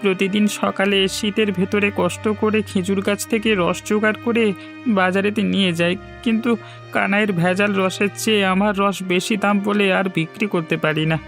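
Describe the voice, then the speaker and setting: A man's voice: sits high, steady tone, brisk, fairly bright tone, faint music behind. A man voicing an honest, downhearted village juice seller in a cartoon, speaking in an earnest, plaintive way. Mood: dejected. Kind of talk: cartoon voice acting.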